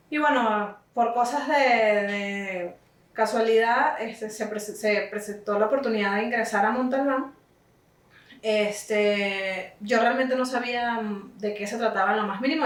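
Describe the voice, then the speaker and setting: A Venezuelan woman in her thirties, a music teacher telling her story in an unhurried way, with frequent "este" fillers. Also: on the high side. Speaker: guest, violist and music teacher. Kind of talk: relaxed conversational storytelling. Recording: roomy echo, distant mic, faint music.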